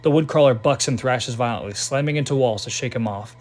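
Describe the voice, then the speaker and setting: A man's voice: medium pitch, fairly quick. A man narrating a scripted fan breakdown, mixing analysis with storytelling. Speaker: narrator of a fan what-if video.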